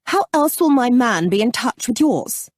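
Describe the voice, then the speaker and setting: A woman voicing a defensive, puzzled young gentleman suitor with a querulous, jealous manner. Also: fairly low pitch, huge pitch swings, unhurried, breathy edge, no echo, clean studio sound, full character voice. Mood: challenging.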